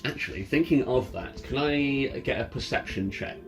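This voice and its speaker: A man's voice: medium pitch, normal speed. A man acting as game master, casually explaining rules and scenes to players in an informal way. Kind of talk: casual tabletop roleplay game narration and rulings. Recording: clear background music under the voice. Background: ambient music.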